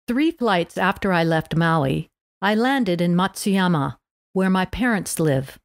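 A woman with a fairly low voice reads prepared text aloud as a podcast host for language learners. The sound is dry, with very little room in it. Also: expressive, takes it slowly, dry recording. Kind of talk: scripted read-aloud narration for language learners.

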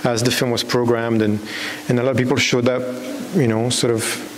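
A man in his thirties speaks as a filmmaker at a public talk, feeling his way through sentences and often restarting them. His pitch sits in the middle of the male range. The hall rings with long reverb, and a clear hiss runs underneath. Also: flat delivery. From Belgium. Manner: halting, searching for words, with repeated false starts. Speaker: documentary filmmaker. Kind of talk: unscripted answer at a public talk. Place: on stage in a large dark hall with an audience.

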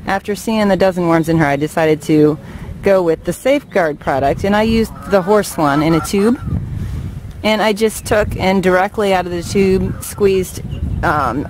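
A woman's voice: lowish voice, a little mellow, slight background noise, poor audio. A woman keeping backyard chickens, talking in a plain, matter-of-fact way with some ums as she explains, filmed outdoors on a handheld camera. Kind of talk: casual informational vlog.

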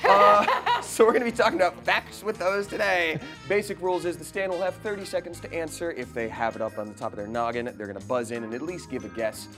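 A man's voice: a little high, lively intonation, quick pace, few pauses, loud music behind the voice. A young man with a theatrical, jokey way of talking, playfully hosting a quiz show. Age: young adult. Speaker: game show host. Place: indoor studio set.

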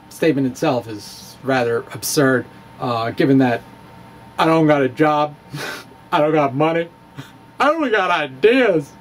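A middle-aged man giving a dramatic reading of a science blog to camera, theatrical and emphatic, with halting phrasing and stretched words. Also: fairly high pitch, a little hiss or hum. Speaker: amateur physicist and video blogger. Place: small indoor room with bookshelves. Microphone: handheld-style microphone on a stand, close in front.

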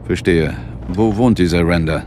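A man's voice: low voice, normal speed, big-room sound, background noise, lightly acted. A man acting a determined police inspector, insolent but loyal, in a German radio drama. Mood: businesslike.

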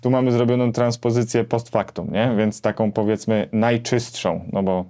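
A man in his thirties explaining a gear comparison conversationally, with hesitant pauses as he thinks. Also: mid-range voice, fairly even intonation, mellow, faint music behind. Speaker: gear reviewer and co-host. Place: small home music studio, indoors.

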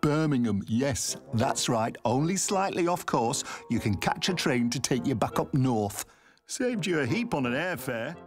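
A man voicing a brisk, cheeky, commanding time traveller in an audio drama, emphatic and teasing. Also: medium pitch, very animated, soft background music. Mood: irritated, wry.

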